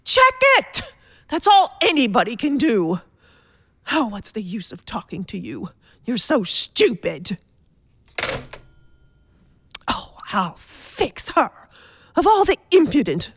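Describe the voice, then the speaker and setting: Shrill, demanding, panicky invalid. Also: female voice, big pitch swings, measured pace, a bit bright, husky, heavily acted. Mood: haughty, outraged. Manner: imperious, hysterical, haughty, breathless pleading. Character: demanding, panicky, self-pitying bedridden woman. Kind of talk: old radio thriller acting.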